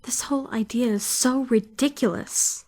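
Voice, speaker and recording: A woman's voice: fairly high pitch, moderate pace, darkish tone, natural voice. A woman playing an anxious, brooding fourteen-year-old schoolgirl who narrates her self-doubting thoughts in a visual novel fandub. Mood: pensive, uneasy. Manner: introspective, questioning, self-critical. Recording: music behind the voice.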